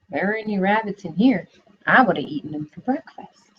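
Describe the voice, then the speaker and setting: A woman voicing a hungry, scheming male fox in a storybook read-aloud, speaking slowly in a drawn-out, gloating way. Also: medium pitch, lively intonation, breathy edge. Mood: scornful. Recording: dull lo-fi webcam audio.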